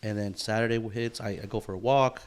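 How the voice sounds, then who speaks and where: A man's voice: fairly low pitch, fairly even intonation, a little fast, clear, resonant tone. A man in his thirties co-hosting a podcast, chatting casually with lots of swearing and bro-talk. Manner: laid-back, swears a lot, says bro and dude. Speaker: podcast co-host. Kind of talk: casual chat.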